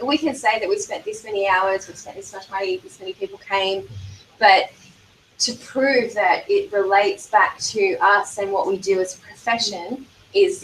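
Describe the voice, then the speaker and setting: Aussie lady talking on a panel, far from the mic, echoey room, faint hiss. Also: mid-range voice. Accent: Australian. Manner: measured, thoughtful with frequent fillers. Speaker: library event organiser. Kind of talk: panel discussion answer.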